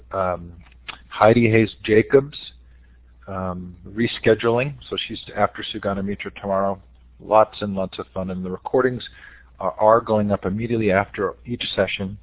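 A slightly hoarse man with a lowish voice hosts an online education webinar, running through announcements in an upbeat way with plenty of fillers. The sound is dry and thin, like a web call. Nothing in the background colors it. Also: no room sound, phone-quality sound. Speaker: host. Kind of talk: webinar interview introduction.

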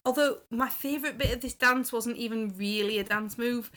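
A woman's voice: a little high, moderate pace, a bit bright. A woman in casual conversation as a podcast panellist, with an emphatic way of talking. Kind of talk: casual chat.

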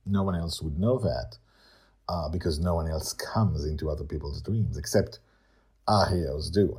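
A man's voice: very deep voice, a little mellow, husky. A man hosting a story podcast, narrating theatrically and emphatically in short, broken phrases.

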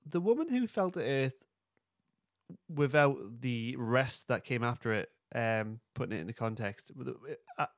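A man's voice: mid-range voice, expressive, measured pace, dry recording, studio quality. A man co-hosting a podcast in loose, informal conversation. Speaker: podcast co-host. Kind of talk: casual chat.

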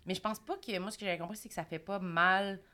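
Quebec french woman hosting a podcast, bright voice, jokey and quick. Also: medium pitch, fairly even intonation. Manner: joking, quick reactions and teasing questions. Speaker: podcast host. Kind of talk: casual chat.

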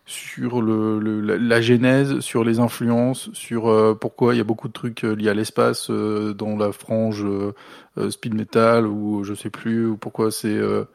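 A man's voice: on the low side, level tone, measured pace, vocal fry, darkish tone, dry recording. A man chatting casually as a podcast co-host, colloquial and joking. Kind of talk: casual music podcast chat.

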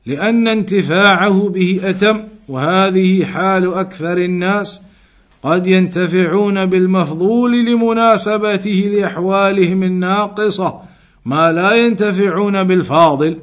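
Guy teaching religion class, voice a bit on the high side, reads a text then explains it, repeats his points. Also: very fast. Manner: didactic, repeats and rephrases points. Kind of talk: religious lesson, reading Arabic text and explaining it.

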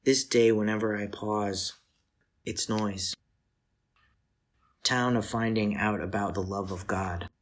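A man giving a literary poetry reading in a measured, solemn manner, with deliberate pauses between short phrases. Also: lowish voice, steady tone. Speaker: poetry reader.

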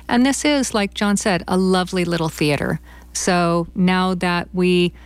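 Woman, theater company member in interview. Lower-pitched, conversational delivery, dry recording. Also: no echo.

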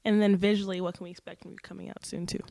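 A woman hosting a casual interview, supportive and quick with short affirmations. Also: mid-range voice, level tone, creaky, mellow, no room sound, clean studio sound. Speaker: interview host.

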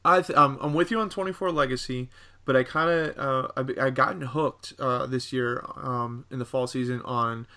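A man co-hosting a casual podcast discussion about entertainment, talking in a halting, rambling way. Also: medium pitch, steady tone, sharp tone. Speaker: podcast co-host.

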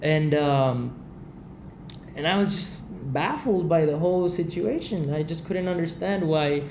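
A man's voice: on the high side, measured pace, a little mellow. A man from Texas, a guest in a casual interview, telling a story in an earnest and reflective way. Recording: echoey room with faint background noise.

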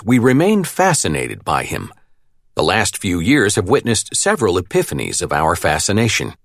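A man reading a nonfiction audiobook as narrator, with a measured, articulate delivery. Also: low-pitched, a bit bright, no room sound, clean studio sound.

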